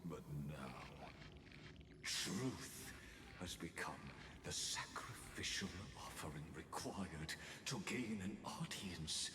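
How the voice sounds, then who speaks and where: An actor playing a grave ancient scholarly villain in a video game, solemn, theatrical and coldly bitter. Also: male voice, sits low, background noise. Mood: wistful. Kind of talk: scripted video game voice acting. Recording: echoey long reverb under game music.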